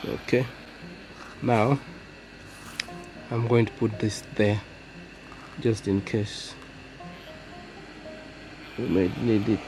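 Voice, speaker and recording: A man presenting an unscripted repair demonstration, talking in halting, piecemeal phrases as he works. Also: on the low side, steady tone, over music, rough recording. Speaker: phone repair tutorial presenter. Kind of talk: unscripted hands-on demonstration narration.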